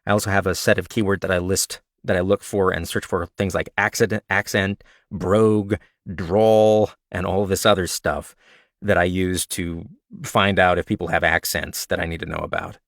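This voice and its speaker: Male narrator, slightly raspy and low-pitched, relaxed chatty delivery, dry close recording. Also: moderate pace, dry recording. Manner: relaxed, chatty, self-described nerd with frequent fillers. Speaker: audiobook narrator sharing tips. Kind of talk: casual Q&A livestream talk.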